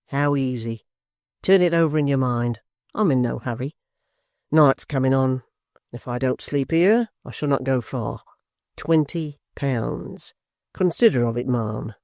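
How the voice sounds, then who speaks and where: A woman voicing a scheming blind old man who speaks slowly and coaxingly. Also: rumbling low, a little mellow, no room sound, clean studio sound, exaggerated voice. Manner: slow, coaxing, insinuating.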